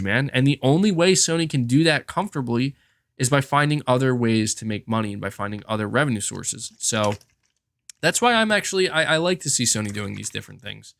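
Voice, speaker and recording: A man's voice: mid-range voice, a bit bright, no echo, studio quality. A man hosting a gaming podcast, talking casually and emphatically and repeating words to drive his points home. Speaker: podcast host. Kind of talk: casual gaming commentary, answering chat comments.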